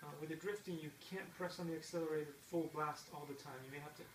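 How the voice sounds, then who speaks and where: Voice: mid-range voice, steady tone, quick pace, reverberant. Middle-aged parent coaching a kid at a video game, encouraging, far from the mic, noisy room. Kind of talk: casual chat while watching gameplay. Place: indoor room at home with a TV. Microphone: distant camera mic.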